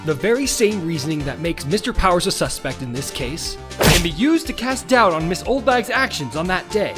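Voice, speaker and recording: A man's voice: sits high, expressive, normal speed, over loud music. A man playing an earnest young defense attorney in a courtroom game, cocky yet nervous, shifting between dramatic declarations and wry asides. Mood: confident, arguing. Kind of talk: dubbed courtroom drama video game acting.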